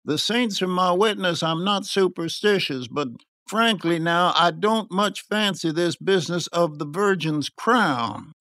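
A man voicing a pompous, superstitious fat gentleman in an audiobook, dismissive and sanctimonious. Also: high-pitched, unhurried, no room sound, clean studio sound, pitched above the performer's own voice. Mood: pompous, wary. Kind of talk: audiobook character acting.

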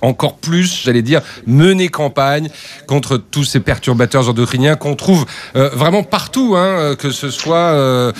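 A man's voice: on the high side, unhurried. A man hosting a live radio news interview, hesitating and repeating words as he forms his questions. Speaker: radio host.

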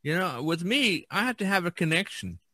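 A man from Indiana with an American accent, hosting a casual podcast chat in a halting way, often pausing mid-thought. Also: sits high, sing-song, sharp tone, dry recording, studio quality. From Indiana, USA. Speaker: podcast host.

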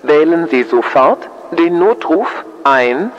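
A man giving a formal fire brigade public warning in short, deliberate phrases over a muffled, echoing loudspeaker. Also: on the high side, animated. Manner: slow, clipped, deliberate phrasing. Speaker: fire brigade emergency announcer. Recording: siren loudspeaker with long echo, muffled.

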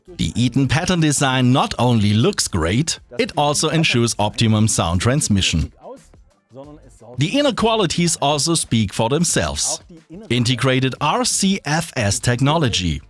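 A middle-aged man presents a product in English with a German accent. His mid-pitched voice moves up and down in an animated way as he reads scripted lines. Faint music plays behind him. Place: small dark studio with acoustic foam. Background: faint background music.

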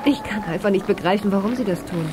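Actress as a warm, concerned mother, medium pitch. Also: quick pace, close to an everyday voice. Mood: concerned, teasing. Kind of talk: audio drama acting. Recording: noisy old cassette recording.

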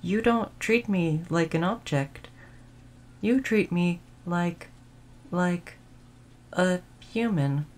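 A woman playing a gentle, dutiful female android servant in an audio roleplay, speaking in formal, polite, measured phrases. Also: on the low side. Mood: earnest, reflective. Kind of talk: audio roleplay addressed to the listener.

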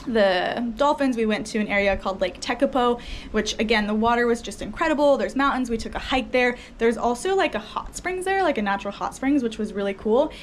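A woman's voice: on the high side, a little fast, a little hiss or hum. Chatty young woman vlogging to camera. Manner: chatty and enthusiastic, lots of fillers. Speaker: travel vlogger. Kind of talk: casual talk to camera. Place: indoors by a window.

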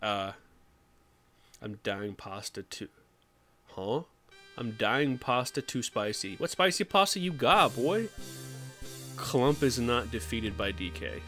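Animated streamer man with faint music. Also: slow, pauses often. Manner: animated, jumps into high silly voices. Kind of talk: casual live-stream chat.

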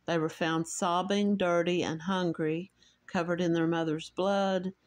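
Woman, Appalachian accent, lowish voice; true crime host reading case notes, measured and a little halting, with personal asides. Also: fairly even intonation.

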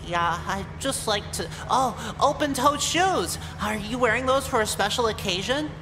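A woman's voice: on the high side, expressive, normal speed, natural voice. A woman voicing a creepy, overeager, awkward nerdy young man in a visual novel game, with a fawning manner. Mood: nervous, eager. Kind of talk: voiced visual novel dialogue. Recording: long hall reverb, clear background noise.

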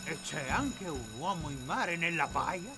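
A man voicing an excitable, commanding fire station officer, urgent and proclaiming, in a children's cartoon. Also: fairly high pitch, unhurried, vocal fry. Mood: alarmed. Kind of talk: animated children's cartoon dub. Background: loud music.

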